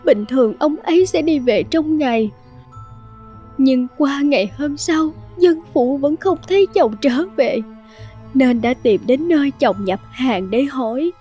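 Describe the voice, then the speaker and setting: A woman voicing a worried, humble village wife who pleads respectfully in an animated folk tale. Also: high-pitched, unhurried, soft background music. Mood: anxious, pleading.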